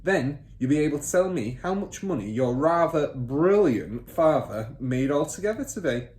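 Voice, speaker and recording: A man voicing a boastful, bullying father and crooked car dealer in a children's storybook, loud, pompous and emphatic. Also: fairly high pitch, expressive, moderate pace, lightly acted. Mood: pompous, bossy. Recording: roomy echo, faint background noise.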